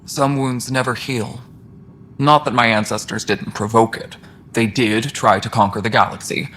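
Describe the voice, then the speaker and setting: An actor playing a thoughtful, fair-minded alien engineer in a video game, speaking in an even-tempered, reflective way. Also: male voice, mid-range voice, normal speed, natural voice. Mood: solemn, reflective. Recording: faint station background noise.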